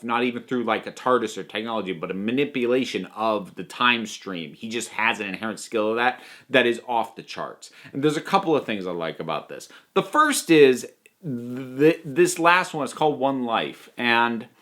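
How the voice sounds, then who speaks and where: A man's voice: medium pitch, fairly bright tone. Conversational, opinionated man reviewing. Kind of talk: spoken audio drama review to viewers.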